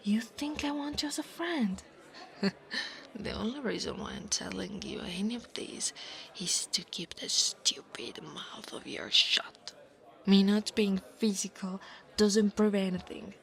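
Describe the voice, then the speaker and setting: A woman voicing an insecure young dragon girl in an ASMR roleplay, haughty and defensive, stammering when flustered and often dropping toward a breathy whisper. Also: medium pitch, a little slow, a bit bright, low-quality recording. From Italy. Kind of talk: scripted ASMR monster roleplay, acting one side of a conversation.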